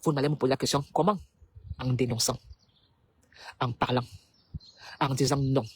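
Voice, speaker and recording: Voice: medium pitch, steady tone, normal speed, a little hiss or hum. A speaker from Cameroon delivers a preachy, rhetorical political monologue to the public. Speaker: political commentator.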